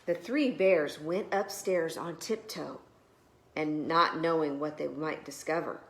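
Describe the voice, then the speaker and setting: A woman's voice: low-pitched, unhurried, echoey room. A woman preschool teacher reading a storybook aloud expressively to young children.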